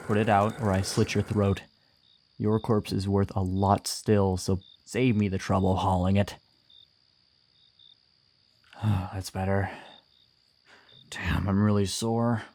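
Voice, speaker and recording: Wanted: male actor for a wry, gruff bounty hunter in fantasy audio roleplay, low-pitched, flirtatious and teasing. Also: little pitch movement, takes it slowly, pauses often, a little mellow. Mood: threatening, weary. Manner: teasing, wry, swearing, sighing.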